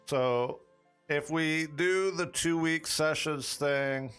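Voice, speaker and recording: A man's voice: fairly high pitch, slow pace, a bit bright, clear, resonant tone, faint music behind. A man running a tabletop role-playing stream as game master, thinking aloud in a rambling, conversational way with frequent restarts. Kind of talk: running a tabletop campaign stream, chatting with viewers.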